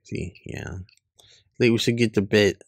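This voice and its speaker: Male comic reader, informal and casual, gravelly and husky delivery, recorded dry and close. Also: on the low side, flat delivery, unhurried, no echo. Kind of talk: reading webcomic dialogue aloud with casual reactions.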